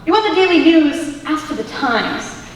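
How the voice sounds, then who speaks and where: Breezy, glib American young woman, played by a teen girl on stage; high, animated voice, echoey hall. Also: moderate pace. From United States. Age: teens. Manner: laid-back, reassuring, glib. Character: breezy, unflappable young woman, cheerfully philosophical. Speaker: student stage actor. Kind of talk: one-act stage comedy acting. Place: school auditorium stage. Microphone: distant camera microphone. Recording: echoey auditorium recorded from far off, hiss.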